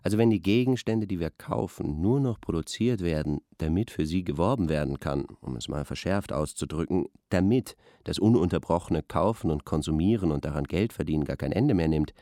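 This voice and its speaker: Deep-voiced, pompous male intellectual. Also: expressive, a little fast, few pauses, dark tone, no echo, clean studio sound. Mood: earnest, pompous. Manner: pompous, academic, earnest analysis. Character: earnest, pompous male intellectual expert on advertising. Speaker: expert guest on a radio discussion. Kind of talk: radio play acting as an interview.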